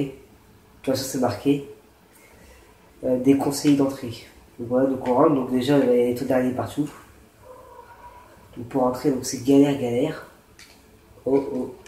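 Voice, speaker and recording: Stuffy-nosed man, flat and rambling. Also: medium pitch. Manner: rambling, hesitant explaining with many fillers. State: stuffy with a cold and sore throat. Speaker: gaming channel host. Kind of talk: casual gameplay commentary and building tutorial. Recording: roomy echo with faint noise.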